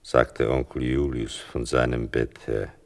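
A male actor as the grave narrator of a literary radio play, speaking in a measured, unhurried way. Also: extremely low pitch, flat delivery, vocal fry, big-room sound, full character voice.

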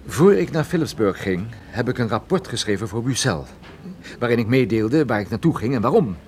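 An actor playing a weary, urgent, wry private detective and narrator in a radio play. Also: male voice, mid-range voice, animated, a little fast, a little mellow, a little hiss or hum, close to an everyday voice. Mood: brisk. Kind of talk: radio play acting with first-person narration.

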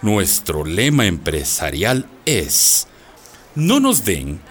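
Slimy corrupt boss, deep voice that swings around a lot, radio drama. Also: male voice, wildly swooping pitch, very slow, a little hiss or hum, heavily acted. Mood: smooth scheming. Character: sly corrupt male company manager, unctuous.

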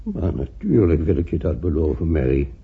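A man's voice: very deep voice, sing-song, moderate pace, gravelly, a little hiss or hum, full character voice. An actor playing a lonely, paralysed man in his seventies in a radio play, querulous and self-pitying, with plaintive swings from murmur to outburst. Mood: gentle, resigned. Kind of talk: radio play acting in Dutch. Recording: old radio play, narrow phone-like muffled sound.

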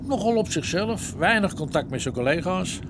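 An actor playing an easygoing, down-to-earth junior police detective in a radio drama, informal and matter-of-fact. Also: male voice, high-pitched, animated, normal speed, noisy background. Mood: wry. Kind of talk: naturalistic Dutch radio drama acting.

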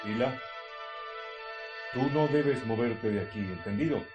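Man acting a slow, brooding, secretive husband. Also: lowish voice, expressive, dull audio, music in the background. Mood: stern. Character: brooding, secretive, menacing husband plotting a killing. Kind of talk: radio drama acting.

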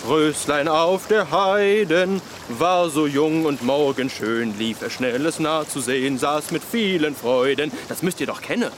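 A man plays a vain young poet of the eighteenth century in a radio play. His voice sits fairly high and he declaims in florid, pompous, old-fashioned phrases with melodramatic flair. Loud outdoor ambience with running water lies behind him. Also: background noise, natural voice. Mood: affronted pride. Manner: pompous, florid, archaic diction. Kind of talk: radio drama acting.

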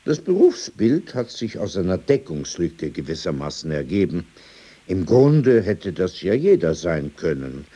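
Husky, sardonic old man. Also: on the low side, warm tone, heavily acted. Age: older. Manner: sardonic, digressive, dismissive. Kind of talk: radio drama dialogue.

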